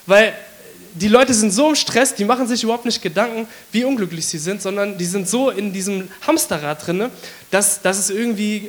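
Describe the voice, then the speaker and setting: A man with a hoarse voice from a cold, giving a casual, chatty sermon to his congregation with many fillers. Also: sits high. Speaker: preacher.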